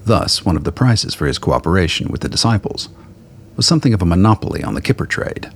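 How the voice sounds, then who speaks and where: A man narrating a scripted radio play in a measured, literary storytelling manner. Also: deep voice, animated, gravelly, husky.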